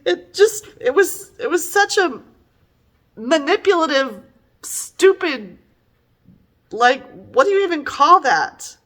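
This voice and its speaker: A middle-aged woman giving a casual, conversational book review in an animated, exasperated and emphatic manner. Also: high-pitched, takes it slowly, long pauses.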